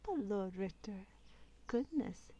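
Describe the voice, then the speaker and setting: Giggly young court gossip, woman acting: girlish, airy, mellow, dreamy; ASMR fantasy roleplay. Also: medium pitch, lively intonation, slow, dull audio, slight background noise. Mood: dreamy, gossipy.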